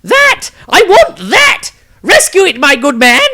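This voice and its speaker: A man voicing an excitable, pompous gentleman in an audiobook, with loud, shrill, wailing exclamations. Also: takes it slowly, exaggerated voice. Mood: frantic, demanding.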